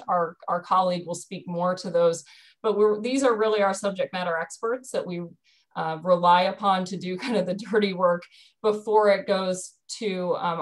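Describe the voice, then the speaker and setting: A woman in her thirties explaining a dairy program on a video call from home, earnest, with frequent ums and restarts. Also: on the low side. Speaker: dairy program staff presenter. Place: bedroom at home. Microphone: video call webcam.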